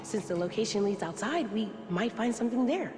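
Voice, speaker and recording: A woman's voice: fairly high pitch, animated, a little fast, music in the background, close to an everyday voice. A woman playing a shrewd, suspicious ally in a video game cutscene, serious and urgent. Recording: long reverb.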